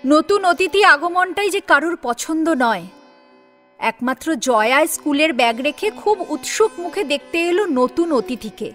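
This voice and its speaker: A woman's voice: sits high, expressive, unhurried, a bit bright, faint music behind. A woman narrating a scripted dramatic story as co-presenter.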